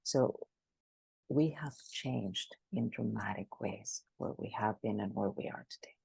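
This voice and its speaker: Woman, gravelly and husky, mellow dark tone, dry close sound, emphatic and animated. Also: a bass voice, a little slow, no room sound, rough recording. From Greece. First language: Greek. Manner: frequent restarts, animated and emphatic. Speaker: guest expert. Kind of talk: conversational interview.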